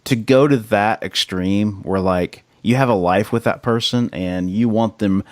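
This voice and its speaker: A man with a fairly low voice talks as a podcast co-host. His delivery is casual and slangy, leaning hard on key words. The sound is dry and close, free of room echo. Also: a little slow. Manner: emphatic, slangy, informal.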